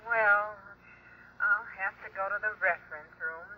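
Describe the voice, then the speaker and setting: A woman's voice: mid-range voice, measured pace. A brusque female library clerk in an old radio play. She sounds grudging and curt, filtered through a thin telephone line with faint noise. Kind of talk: old radio drama acting.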